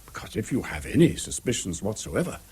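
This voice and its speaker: An actor playing an older, courteous, pompous school head in a radio drama, speaking formally and deliberately with an English accent and a husky voice. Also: male voice, fairly low pitch, very animated, a little mellow, heavily acted. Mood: probing, formal. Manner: formal, deliberate, halting phrases. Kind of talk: 1970s radio drama acting.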